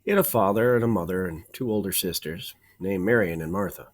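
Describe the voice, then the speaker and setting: A man reading a children's storybook aloud like a teacher, with deliberate phrasing, dramatic pauses and emphasis. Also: sits low. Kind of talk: expressive children's storybook narration.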